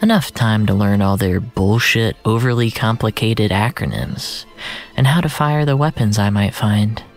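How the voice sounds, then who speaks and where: A narrator reading scripted first-person fiction in a measured, literary storytelling manner. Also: mid-range voice. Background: music.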